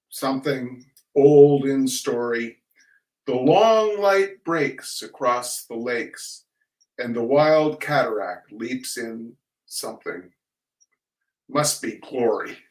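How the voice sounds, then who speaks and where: A man reading humorous essays aloud in a deliberate, theatrical way. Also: medium pitch. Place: echoey room, recorded at a distance from the mic. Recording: echoey room, distant microphone.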